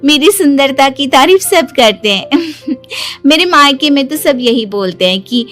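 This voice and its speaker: High female voice, whiny young daughter-in-law played by a storyteller, boastful, self-pitying, theatrical complaining, audio story, music behind. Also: lively intonation, unhurried, fairly bright tone. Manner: dramatic wailing, self-praising complaints.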